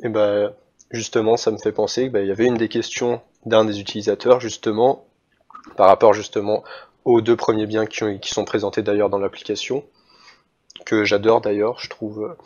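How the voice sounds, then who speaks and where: A man's voice: on the low side, little pitch movement, moderate pace, darkish tone. A man hosting an interview, chatting casually and informally with lots of loose filler words. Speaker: host. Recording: dull lo-fi sound.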